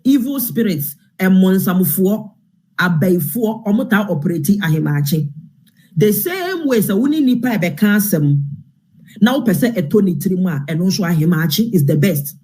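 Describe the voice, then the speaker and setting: A Ghanaian man giving informal political commentary with a husky voice, repeating phrases for emphasis. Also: sits high, darkish tone. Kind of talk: informal political commentary, mixing Twi and English.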